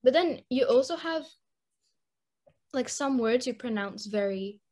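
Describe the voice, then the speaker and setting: A woman's voice: fairly high pitch, lively intonation, unhurried, a little mellow. A young woman chatting casually with a friend, teasing her playfully. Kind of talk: casual chat.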